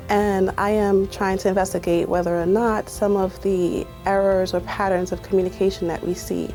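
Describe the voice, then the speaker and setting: A woman's voice: medium pitch, dark tone. A young woman researcher explaining her work in careful, formal phrasing.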